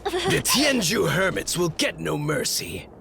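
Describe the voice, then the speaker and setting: An actor playing a cruel, taunting soldier thug in a video game, with a gravelly, menacing voice. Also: male voice, high-pitched, wildly swooping pitch, a little slow, loud music behind the voice, heavily acted. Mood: menacing, contemptuous. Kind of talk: villainous video game cutscene acting.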